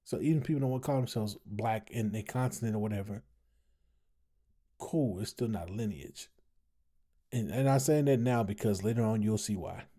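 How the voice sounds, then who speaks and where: A man's voice: on the low side, moderate pace, mellow. A tired American man giving casual, opinionated commentary as a host, emphatic and repeating phrases for emphasis. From United States, family from Texas. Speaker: host commenting on an online discussion.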